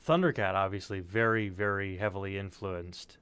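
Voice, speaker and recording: A man's voice: low-pitched, steady tone, normal speed, a bit bright, clear, resonant tone, no room sound. A man co-hosting a casual music panel, putting questions and talking informally. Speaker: co-host asking questions. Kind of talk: casual panel chat, some swearing.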